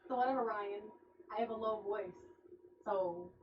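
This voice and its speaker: A woman co-hosting a casual radio show. She swears freely and talks low, almost in a whisper, from far off the mic. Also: moderate pace. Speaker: co-host. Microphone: sitting far from the mic. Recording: dull lo-fi stream audio with faint hiss.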